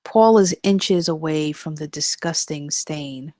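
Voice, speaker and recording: A woman's voice: sits low, slow, mellow, no room sound, studio quality. A woman narrating the stage directions at a screenplay table read in a scripted style.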